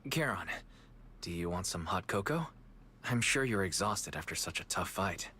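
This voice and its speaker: Casting: considerate young male friend in a game, bright tone, soft-spoken, faint ambience. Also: low voice, lively intonation, moderate pace, slight background noise. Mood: caring. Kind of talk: scripted video game dialogue.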